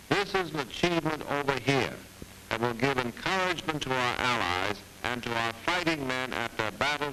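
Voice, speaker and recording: Male official, forceful and sharp, formal wartime-era speech, slightly hissy archival film sound. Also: medium pitch, poor audio. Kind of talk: formal scripted 1940s address.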